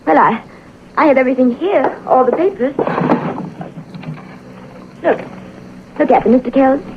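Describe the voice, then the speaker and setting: An actress playing an anxious, evasive widow with a secret scheme, pleading in earnest 1940s radio melodrama. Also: female voice, high voice, measured pace, noisy background. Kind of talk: 1940s radio drama acting. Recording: dull lo-fi old radio recording.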